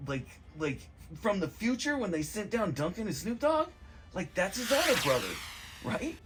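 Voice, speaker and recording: A man giving a rambling, casual comedic movie recap, slangy and profane, excitable and meandering in his storytelling. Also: sits high, normal speed, noisy background.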